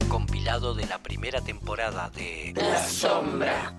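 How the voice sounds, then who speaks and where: A man announcing the show title in a slow, dramatic intro. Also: on the low side, gravelly. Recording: announcer voice over loud guitar music.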